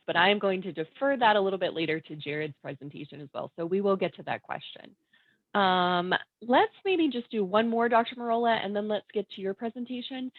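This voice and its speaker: A woman's voice: mid-range voice, dry recording. Woman moderator on a tinny line. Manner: polite, frequent um fillers. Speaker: webinar moderator. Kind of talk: moderating questions. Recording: dry, phone-like sound.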